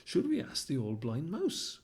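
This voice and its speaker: A man's voice: fairly high pitch, huge pitch swings, unhurried, creaky, a little mellow, slightly rough, heavily acted. A man voicing a sensible male cat who answers in dry, exasperated rhymes. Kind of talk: storybook character voice.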